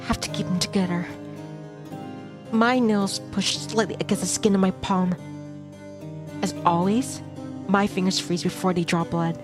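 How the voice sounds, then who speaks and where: A woman reads a visual novel aloud as a streamer. Her first-person narration is dramatic and tense, delivered in short expressive phrases at a middle pitch, with game music clearly playing behind. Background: game soundtrack music.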